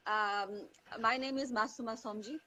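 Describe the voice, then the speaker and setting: A woman's voice: fairly high pitch, steady tone, moderate pace, bright, forceful tone. A woman from Tanzania answering as an interview guest in a conversational way, searching for words and repeating phrases for emphasis. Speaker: interview guest, teacher and mental health advocate. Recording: online call with faint background noise.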